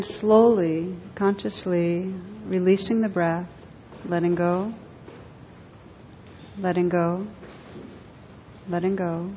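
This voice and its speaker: A woman leading a guided meditation for a group, speaking gently in short, unhurried phrases with long pauses. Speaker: meditation teacher. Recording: dull echoing hall recording, faint rumbling noise.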